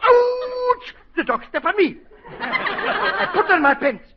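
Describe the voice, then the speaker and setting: An excitable French violinist in a vintage radio comedy. His voice is extremely high and theatrical, full of wounded vanity and broken English. The sound is a muffled old broadcast. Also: slow pace, very noisy, full character voice. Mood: comic pleading. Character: excitable, vain French orchestra violinist, comically indignant. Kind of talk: 1940s radio comedy acting.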